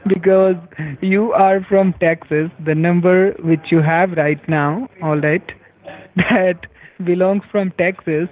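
A man's voice: high-pitched, fairly even intonation, slow pace. A man with an Indian accent on a phone call, arguing in a crude, taunting, foul-mouthed way. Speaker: phone scammer. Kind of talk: heated phone argument. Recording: tinny narrowband phone line.

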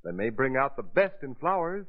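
A man's voice: mid-range voice, sing-song, normal speed. A man announcing and selling in a polished 1930s radio style. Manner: polished salesman-like delivery. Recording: tinny 1930s radio recording.

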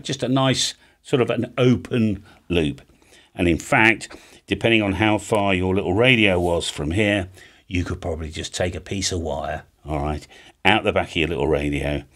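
A man in his fifties with a low-pitched voice talks through a practical explanation. He is chatty and informal, like a hobby channel host showing how something is done, and he often pauses to check that the listener follows. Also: fairly bright tone. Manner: chatty, casual, often checks in with "all right?". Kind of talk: informal explainer, talking through a hand-drawn diagram. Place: home music studio room, indoors.

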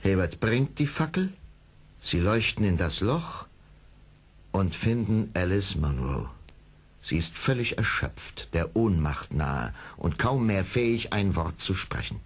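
A man narrating a radio play as a dramatic storyteller, with a husky voice. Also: low voice, big pitch swings, slow.

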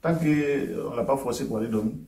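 A man's voice: medium pitch, quick pace, mellow. A man giving a family testimony in a small meeting room, hesitant, with many "euh" and "voilà". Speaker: testimony giver, head of family. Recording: roomy sound from a far camera.

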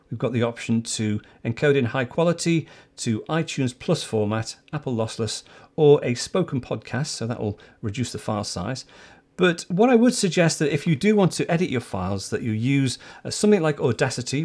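Older man, lively tutorial host. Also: medium pitch. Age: older, about 60. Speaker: host of a tech tutorial show. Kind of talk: step-by-step software tutorial, presenting to camera. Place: small home studio office, indoors.

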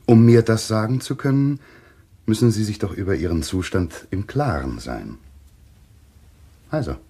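An actor playing a patient, reasonable doctor who takes charge, soothing but firm, in a German thriller radio play. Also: male voice, fairly low pitch, expressive, measured pace, dark tone. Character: level-headed, patient male doctor taking charge.

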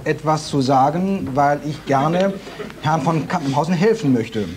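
Calm wry man explaining on a panel. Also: a little high, normal speed, crowd noise. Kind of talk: heated TV debate.

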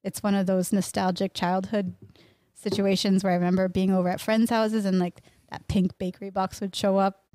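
A woman's voice: mid-range voice, level tone, moderate pace, mellow, dry recording. A middle-aged woman from Miami, born in Mexico, talking casually as a podcast guest, close on a studio microphone. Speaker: podcast guest, pastry chef and bakery owner. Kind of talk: casual conversational interview. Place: small indoor podcast room. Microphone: close studio mic on boom arm, headphones.

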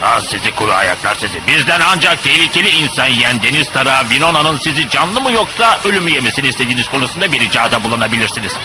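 A man plays a menacing underwater ruler in a cartoon. He is pompous and disdainful, giving commands in a mid-pitched, highly animated voice. There is clear noise behind him. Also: quick pace, hardly any pauses, natural voice. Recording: clearly noisy cartoon soundtrack.

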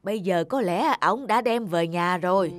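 A woman's voice: fairly low pitch, moderate pace, loud music behind the voice, natural voice. A woman voicing an honest, simple old male farmer in a cartoon folktale, earnest and kindly. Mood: excited, friendly. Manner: earnest, good-natured. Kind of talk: cartoon folktale character acting.

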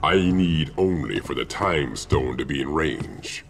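An actor playing an arrogant, menacing titan supervillain in a video game, with a gravelly voice and pompous, disdainful formal speech. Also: male voice, extremely low pitch, expressive, unhurried, faint music behind, full character voice. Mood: haughty. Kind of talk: video game villain acting.

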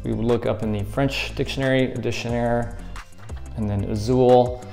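A man's voice: medium pitch, steady tone, normal speed, gravelly, a little mellow, soft background music. Upbeat man explaining a puzzle how-to. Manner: upbeat, explains step by step while handling props. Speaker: escape room tutorial host. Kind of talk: friendly how-to demonstration. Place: indoors at a table against a plaster wall.

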